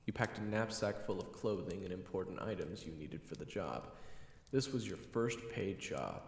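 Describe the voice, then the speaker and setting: Deep-voiced man narrating a fantasy story. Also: animated, moderate pace, creaky, roomy sound, long reverb tail. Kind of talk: scripted second-person fantasy narration.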